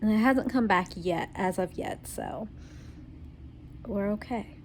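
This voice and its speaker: A woman's voice: medium pitch, slow pace, a little hiss or hum. A young woman recording a casual video diary at home, her voice tired and congested while she is sick, rambling with lots of ums and small laughs. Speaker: vlogger.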